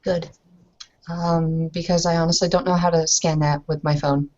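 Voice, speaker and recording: Female roleplayer, slightly low voice, casual mix of dialogue and narration, dullish recording. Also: little pitch movement, moderate pace, a little mellow, dull audio. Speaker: tabletop roleplayer.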